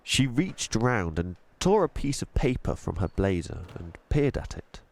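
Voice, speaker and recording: A man narrating a children's audiobook in an expressive storytelling style. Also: fairly low pitch, unhurried, gravelly, no echo.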